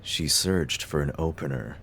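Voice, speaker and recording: A man's voice: extremely low pitch, unhurried, fairly bright tone, slightly rough. A man narrating a scripted story as the narrator of an audio drama.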